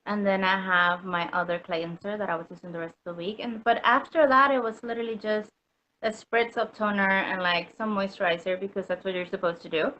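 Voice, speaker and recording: A woman's voice: medium pitch, steady tone, brisk, bright, forceful tone, lo-fi sound, slight background noise. A woman from Puerto Rico chatting as an interview guest, full of ums and likes, laughing easily. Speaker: customer guest. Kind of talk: casual interview chat.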